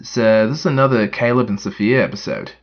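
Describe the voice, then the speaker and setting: A man's voice: on the low side, fairly quick, muffled recording, voice pushed up, lightly acted. A man voicing a wary, pessimistic military commander sidekick who interrupts with doubts. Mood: doubtful.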